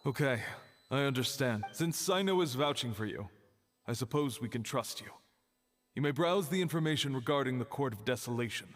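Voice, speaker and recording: A man's voice: medium pitch, lively intonation, no room sound, close to an everyday voice. A man voicing a guarded archive keeper. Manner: measured, guarded. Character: cautious male archive keeper. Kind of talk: video game voice acting.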